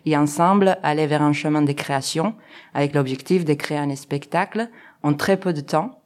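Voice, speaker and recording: A woman from France with a notably deep voice talks through interview answers about her work as a co-director. She hesitates often and fills her pauses with small filler sounds. Her tone stays casual and conversational. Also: darkish tone. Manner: hesitant, many fillers, laughs easily. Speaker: artistic co-director.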